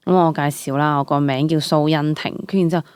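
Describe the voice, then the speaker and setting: Young Hong Kong woman, animated and dry-miked. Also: low voice, fast talker, clear, resonant tone, no room sound. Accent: Hong Kong Cantonese. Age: young adult. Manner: animated, code-mixes English words into speech. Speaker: guest, stage actress. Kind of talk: casual interview chat.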